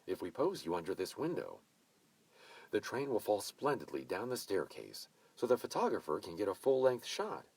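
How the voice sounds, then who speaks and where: A man's voice: fairly low pitch, a little mellow, breathy edge, voice pushed up, heavily acted. A man putting on a gushing, bossy older hostess. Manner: brisk, commanding, gushing. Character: bossy, effusive mature woman hostess, gushing.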